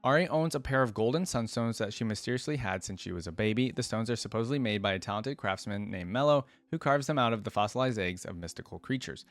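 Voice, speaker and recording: A man's voice: on the low side, fast talker, hardly any pauses, no echo, faint music behind. A man doing casual reaction commentary, reading aloud and adding informal, conversational asides as a video creator.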